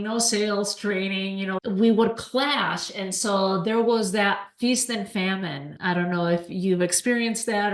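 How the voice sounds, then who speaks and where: A middle-aged woman from Spain chats her way through her story as a podcast guest, slipping into quoted bits of conversation. Her voice sits at a middle pitch and rings in a roomy, echoey space. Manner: chatty, acts out quoted dialogue. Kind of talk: casual storytelling interview. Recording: roomy echo.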